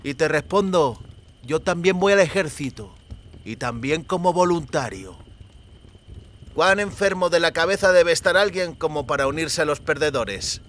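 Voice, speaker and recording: Man voicing a lisping, sardonic old gang leader, high-pitched. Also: expressive, a bit bright. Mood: menacing. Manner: slow, archaic rustic speech, sardonic. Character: oldest of a rough rustic gang, mocking, menacing man.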